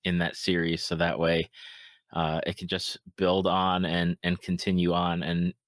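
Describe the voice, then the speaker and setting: A man with a low voice hosts a casual podcast chat. He speaks haltingly, often doubling back on his words, in a dry recording. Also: monotone, no echo. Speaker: podcast host. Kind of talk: casual chat about video games.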